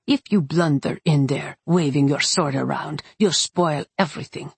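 A woman's voice: sits low, moderate pace, gravelly, slightly rough, no echo, exaggerated voice. A woman voicing a stern, commanding witch matriarch in an audiobook, with a firm, scolding manner.

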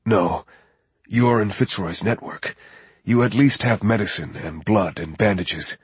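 A man voicing a wounded, weakened male operative who speaks quietly and tersely, straining to get the words out. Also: lowish voice, unhurried, husky, dry recording. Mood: strained.

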